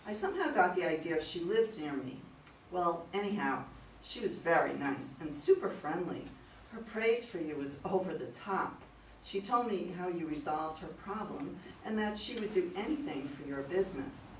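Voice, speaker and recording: Worried, apologetic woman client acted by a woman, stage reading, lower pitch, far from mic. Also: lively intonation, moderate pace, close to an everyday voice. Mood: polite, chatty. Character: worried female client seeking help, apologetic. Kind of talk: amateur staged play reading. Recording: echoey room, distant mic, faint noise.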